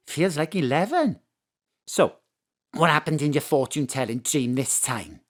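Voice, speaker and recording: Animated, doting Welsh mam. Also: female voice, low-pitched, moderate pace. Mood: teasing, nosy. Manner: malapropisms, excitable, teasing. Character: doting, nosy, scatty Welsh mother of a detective. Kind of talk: comic audio drama acting.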